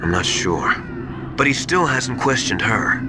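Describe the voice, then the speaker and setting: An actor playing an earnest, anxious man confiding secrets in a video game, with a hesitant, hushed way of explaining. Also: mid-range voice, big pitch swings, measured pace, background noise. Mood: grave, confiding. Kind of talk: dramatic video game voice acting. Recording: music behind the voice.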